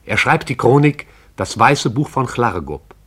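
A man narrating a radio play in a measured, poetic storytelling manner. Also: medium pitch, big pitch swings, a bit bright, slightly rough.

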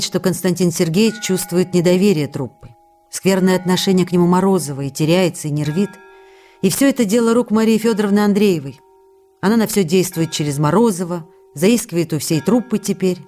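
An actress playing an affectionate, anxious young wife in a radio play of letters, speaking tenderly, earnestly and expressively. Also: female voice, on the low side, mellow. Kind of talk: dramatised reading of intimate letters, radio play.

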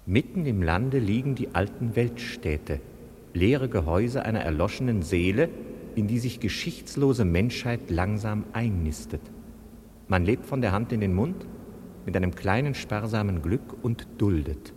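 A man's voice: on the low side, expressive, a little slow, darkish tone. A man reading out philosophical prose in a grave, declamatory style. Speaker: reader of a quotation. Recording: long hall reverb, clear background noise.

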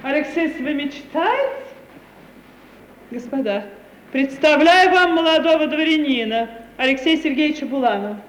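A woman's voice: high-pitched, moderate pace, natural voice. An actress playing a proud, theatrical, self-assured lady of a country estate, in 1950s Russian radio theatre style. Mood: gracious, imperious. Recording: echoey, hissy 1950 radio recording.

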